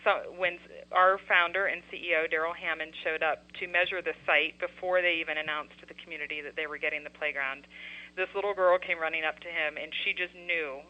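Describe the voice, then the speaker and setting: A woman guest author on a radio interview, explaining her nonprofit's work in an earnest, steady way. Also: low voice, fairly quick. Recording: dull lo-fi radio audio.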